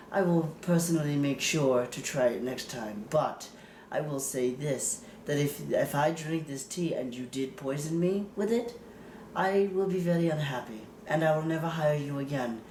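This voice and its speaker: A man's voice: fairly high pitch, darkish tone, a little hiss or hum, close to an everyday voice. A man playing a suspicious, wealthy client in an improvised comedy sketch, talking in a campy, theatrical way and calling people "darling". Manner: campy, drawn-out "darling" endings. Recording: roomy echo.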